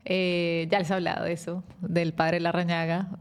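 A woman hosts a faith-based talk show in a gentle, devout, rambling way. Her voice sits a bit low, and she moves easily between chatting and praying. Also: level tone, moderate pace, smooth. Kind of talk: warm faith-based interview with prayer.

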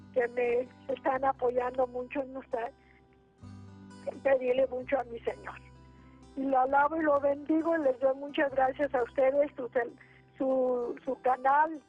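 A woman's voice: high-pitched, husky. A woman in her eighties with a Mexican accent, calling in to pray and share her troubles, devout and rambling with many restarts. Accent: Mexican Spanish. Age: 80s. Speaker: caller. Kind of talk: spoken prayer and testimony. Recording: tinny phone line.

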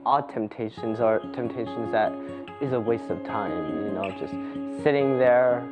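A man's voice: medium pitch, moderate pace, dull audio. A man speaking earnestly and devoutly in a casual faith discussion. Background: guitar music.

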